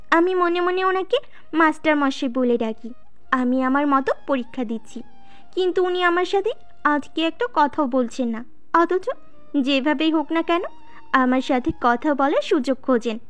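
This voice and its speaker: Woman acting shy student storyteller, high voice, easily piqued, scripted audio drama, soft music behind. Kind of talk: scripted first-person story narration.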